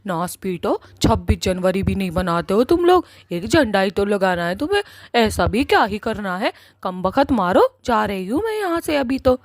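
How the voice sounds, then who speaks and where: Bossy comic-horror witch, played by a woman: irate, threatening, emphatic, mid-pitched, recorded very dry. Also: very animated, moderate pace, few pauses, dry recording. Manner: scolding, emphatic, repeats words for menace. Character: angry, foul-mouthed village witch, bossy and threatening. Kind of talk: Hindi cartoon horror-comedy acting.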